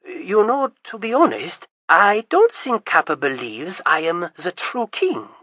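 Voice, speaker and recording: A reader voicing an excitable, vain young prince who stammers when nervous and gets shrill and loud when pleased. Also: a little slow, no room sound, exaggerated voice. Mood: chatty.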